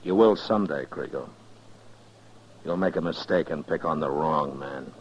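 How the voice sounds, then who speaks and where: Deep-voiced stern western marshal. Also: male voice. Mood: grim, probing. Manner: terse, commanding, deliberate. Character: stern, steely frontier lawman, a US marshal. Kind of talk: 1950s radio western acting. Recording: dull, noisy 1950s radio recording.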